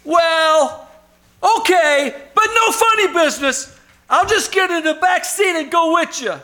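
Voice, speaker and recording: A man's voice: very high-pitched, bright, forceful tone, exaggerated voice. A man playing a blustering, suspicious police officer in an old-time radio comedy, with an exasperated, commanding manner. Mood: wary. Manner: exasperated, commanding, drawn-out exclamations.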